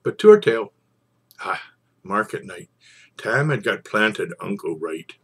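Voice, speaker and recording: A man speaks as a storyteller at a very unhurried pace. His voice is husky and rough-edged. He is theatrical, lingering over phrases and leaving pauses between them. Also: on the low side, expressive. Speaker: storyteller and poetry reader. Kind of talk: informal introduction, then dramatic poetry recitation.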